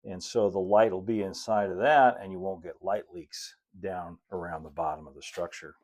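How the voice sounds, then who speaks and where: A middle-aged American man giving a casual hands-on tutorial, unhurried and folksy, thinking aloud as he works. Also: low-pitched, steady tone, a little mellow. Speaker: host, model railroad builder. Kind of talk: casual hands-on tutorial talk while working. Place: indoor hobby workshop at a cluttered workbench and layout.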